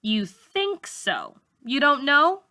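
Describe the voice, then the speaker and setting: A woman's voice: sits high, sing-song, takes it slowly, sharp tone, voice pushed up, full character voice. A woman voicing a blunt, nosy middle-school girl from a storybook, with informal, challenging questions.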